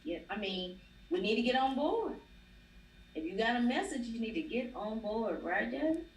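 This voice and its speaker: A woman's voice: a little high, very animated, moderate pace. A woman guest in a casual livestream interview, an educator who talks emphatically and repeats phrases for stress. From Nashville, Tennessee. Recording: roomy, distant, faint ambience.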